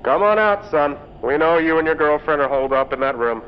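A man's voice: a little high, expressive, fairly quick, lo-fi sound, a little hiss or hum, voice pushed up. A man playing a commanding, condescending police officer in a dramatic story, calling out through a megaphone.

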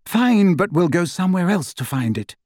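A man voicing a clever, anxious teenage girl witch who talks briskly and impatiently. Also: high-pitched, very animated, a little mellow, no room sound, clean studio sound, voice pushed up.

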